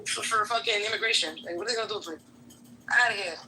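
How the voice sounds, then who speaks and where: A woman's voice: medium pitch, very fast, sharp tone, a little hiss or hum, rough recording. A woman from New York who works as an immigration law clerk, talking bluntly and profanely in a casual voice-chat room, firing off rhetorical questions. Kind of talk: heated casual chat on a voice-chat app. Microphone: phone mic on a voice-chat app.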